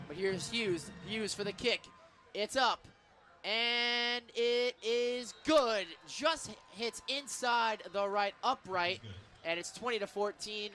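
An American man doing live football play-by-play on the radio, with a very high, bright voice. His delivery is excitable and emphatic, the classic announcer following every snap. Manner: excitable, builds to emphatic calls on big plays.

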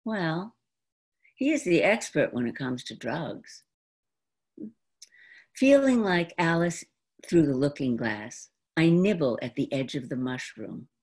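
An older woman of around seventy performing a dramatic, theatrical monologue in an expressive, deliberate manner. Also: sits low, slightly rough. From New York, United States. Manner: expressive, deliberate, acted-out delivery. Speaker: stage actress performing an autobiographical monologue. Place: indoor room at home, before a folding screen. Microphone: computer video call microphone.

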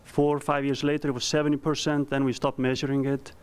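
Icelandic-accented man, smooth and resonant. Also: medium pitch, flat delivery. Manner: earnest, halting with frequent ums and restarts. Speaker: company founder giving a presentation. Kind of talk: conference presentation. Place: conference room. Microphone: handheld mic.

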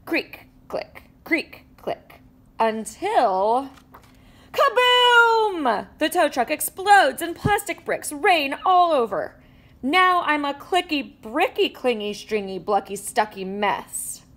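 A woman reading a children's picture book aloud with animated storytelling and playful sound effects. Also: sits high, slow pace. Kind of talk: expressive read-aloud. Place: indoors at a table with a book.

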